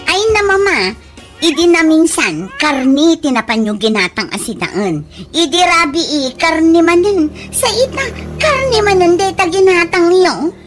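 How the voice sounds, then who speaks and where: A woman's voice: very high-pitched, animated, moderate pace, over music. A woman with a Filipino accent playing a fretful, caring young daughter in a radio comedy drama, pleading and nagging. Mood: nagging concern. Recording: noisy background.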